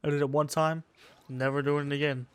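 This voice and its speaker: A man's voice: on the high side, little pitch movement, brisk, slight background noise. A man giving casual, slangy let's play commentary, muttering his reactions to himself as he goes. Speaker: gamer commentating his own playthrough.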